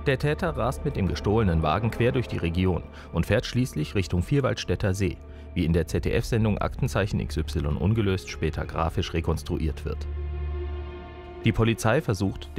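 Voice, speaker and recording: Deep-ish male voiceover for tv crime report, dramatic, music clearly underneath. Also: lively intonation, normal speed, darkish tone. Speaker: TV report narrator. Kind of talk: scripted true-crime narration. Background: prominent music.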